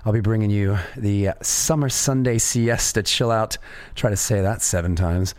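A man with a raspy, fairly low voice presents a live radio music show. He talks in a loose, easygoing way, often pausing on fillers, and his voice is close and dry on a studio microphone. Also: darkish tone, dry recording. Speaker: radio DJ host.

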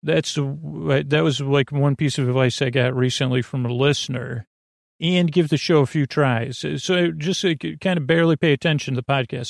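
A man with a low, heavily creaky voice rambles through a bedtime monologue as a sleep podcast host. He halts, restarts and wanders off on tangents in a conversational way. The sound is close and dry. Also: no echo. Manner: meandering, halting, drifts off on tangents.

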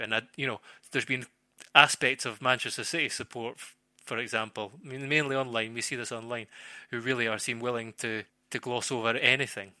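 A man talking casually as a football pundit on a podcast, halting and repeating phrases as he thinks aloud. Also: medium pitch, sharp tone, no room sound.

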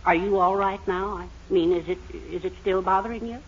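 An actor playing a bumbling, henpecked middle-aged husband who stammers fussily in a 1940s radio comedy. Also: male voice, high-pitched, steady tone, fairly quick, husky. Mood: feigned innocence. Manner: stammering, fussy. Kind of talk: 1940s radio sitcom acting. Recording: noisy, muffled 1940s radio recording with audience.

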